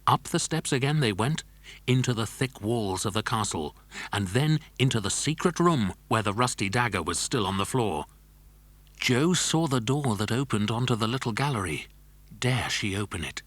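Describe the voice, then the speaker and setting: A man's voice: fairly low pitch, expressive, moderate pace, fairly bright tone, no room sound. A man narrating a scripted children's adventure story. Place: dry studio.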